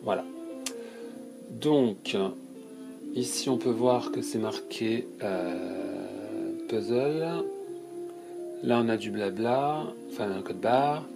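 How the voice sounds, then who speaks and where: A man's voice: lowish voice, very slow, gravelly, long pauses, over music. A man with a cold, unboxing toys for his channel and describing each item in a hesitant, casual way with many fillers. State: stuffy from a cold. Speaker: toy unboxing youtuber.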